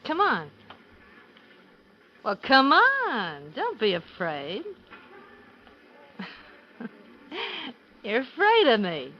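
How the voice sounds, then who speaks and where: An actress playing a flirtatious, teasing and insistent femme fatale in a 1950s radio thriller. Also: female voice, on the high side, wildly swooping pitch, exaggerated voice. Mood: coaxing, teasing. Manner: teasing, insistent, drawn-out coaxing. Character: coaxing, flirtatious femme fatale, butcher's woman. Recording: muffled 1950s radio recording, loud music.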